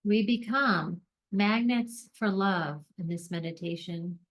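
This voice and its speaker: A woman leading a guided meditation, speaking gently in deliberate short phrases with long pauses between them. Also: medium pitch.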